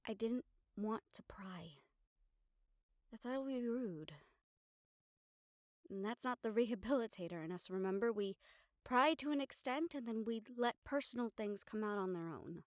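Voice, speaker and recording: A woman's voice: on the high side, lively intonation, moderate pace, long pauses, dry recording, clean studio sound, lightly acted. A woman playing a teasing, supportive partner of a vampire in an audio roleplay, in a wry, conversational way. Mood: gentle, probing. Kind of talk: dramatic audio roleplay acting.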